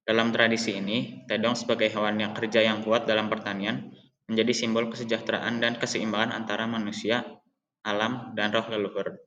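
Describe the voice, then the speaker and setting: A young man reads out a school report in a steady, list-like way, stumbling now and then on a word. His voice sits fairly low and hardly moves in pitch. The recording sounds dull and lo-fi. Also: a little fast. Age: young adult. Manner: even, list-like recitation with occasional slips and restarts. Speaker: high school student presenting. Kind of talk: reading a school presentation aloud.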